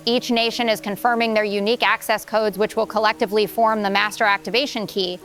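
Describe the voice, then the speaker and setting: Audio drama: actress as composed on-location correspondent, medium pitch, earnest descriptive news delivery, music bed. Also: female voice, level tone, fast talker, fairly bright tone, natural voice. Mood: composed, expectant. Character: earnest female correspondent reporting live on location. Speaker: field news reporter. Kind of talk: scripted live news report.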